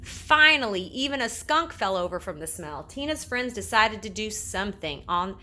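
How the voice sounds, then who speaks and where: Woman, children's read-aloud narrator. Bright tone, very varied pitch, playful and expressive. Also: normal speed. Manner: animated, with playful repetition and sound words. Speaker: storybook reader.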